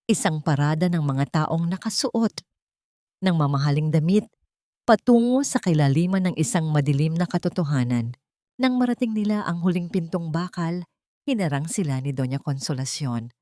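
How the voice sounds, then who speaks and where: A woman's voice: sits low, expressive, moderate pace, mellow, no room sound, studio quality. A woman narrating a scripted drama in a theatrical, suspenseful way.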